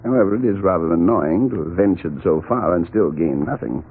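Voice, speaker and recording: An actor playing a resigned, wordy, genteel crook in a 1940s radio mystery, with a sighing, mannered delivery. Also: male voice, low voice, lively intonation, fairly quick, husky, full character voice. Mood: rueful resignation. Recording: tinny, scratchy 1940s radio recording.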